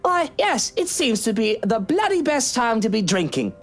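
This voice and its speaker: A woman's voice: a little high, big pitch swings, moderate pace. A woman voicing a bitter, scheming husband in a visual novel, sardonic and theatrical. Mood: bitter sarcasm. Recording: music behind the voice.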